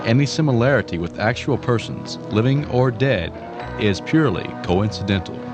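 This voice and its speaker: A man's voice: mid-range voice, lively intonation, moderate pace, music in the background. A man reading scripted closing credits as a radio announcer.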